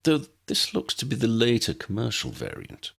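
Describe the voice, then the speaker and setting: A man acts a learned wizard from a storybook, full of wonder and careful detail. The delivery is highly animated, with a husky, creaky texture. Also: moderate pace, full character voice. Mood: explanatory. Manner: precise, awed, explanatory. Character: learned wizard, wonderstruck aviation enthusiast, precise and explanatory.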